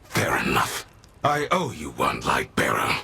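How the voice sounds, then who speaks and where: A man playing a grumpy, proud old warlord in a video game, curt and disdainful, with a gravelly, raspy voice. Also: extremely low pitch, wildly swooping pitch, measured pace, background noise, full character voice. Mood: grudging solemnity. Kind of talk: video game cutscene acting.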